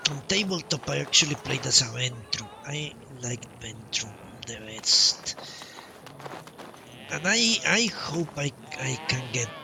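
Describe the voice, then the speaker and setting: A man doing casual live commentary as a game streamer, rambling in halting, broken-up phrases. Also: mid-range voice, vocal fry, noisy background. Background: game music.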